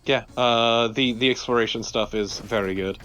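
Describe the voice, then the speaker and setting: A man's voice: mid-range voice, fairly even intonation, moderate pace, sharp tone. A man chatting casually as a let's play co-host, informal and dryly sarcastic. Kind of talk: casual gameplay commentary. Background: game music.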